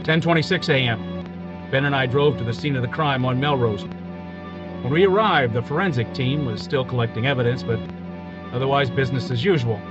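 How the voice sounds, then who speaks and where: An actor playing a male police detective who narrates in a clipped, flat, matter-of-fact way in a parody police radio drama. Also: mid-range voice, highs cut off, natural voice.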